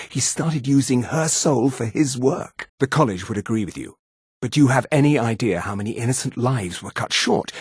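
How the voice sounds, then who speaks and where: Fantasy game role: an aging elf wizard, weary and haughty, measured delivery, husky edge, dry studio sound. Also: male voice, mid-range voice, no room sound. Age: older. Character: weary, guilt-haunted old male elven wizard. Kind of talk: fantasy video game dialogue.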